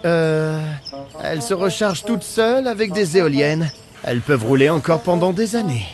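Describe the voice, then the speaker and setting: Man playing an excitable, high-voiced cartoon inventor. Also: moderate pace, over music, natural voice. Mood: puzzled. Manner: enthusiastic sales-pitch delivery. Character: excitable, boastful male inventor of robotic scooters. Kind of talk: cartoon voice acting.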